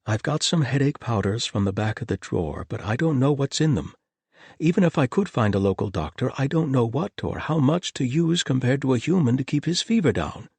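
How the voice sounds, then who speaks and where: A man's voice: expressive, fairly quick, a little mellow, breathy edge, dry recording. A man voicing an anxious young male healer who talks in a worried, strained way. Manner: worried, rising pitch.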